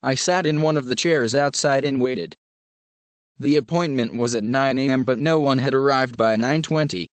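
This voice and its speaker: A man narrating a scripted horror story in a measured, even storyteller manner. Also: mid-range voice, clear, resonant tone, dry recording.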